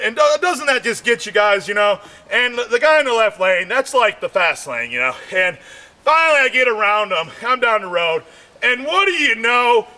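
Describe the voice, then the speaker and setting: High, bright-voiced rambling comedian. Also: male voice. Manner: rambling, shouts punchlines, keeps saying "man" and "you know". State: losing his voice. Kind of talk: stand-up comedy monologue.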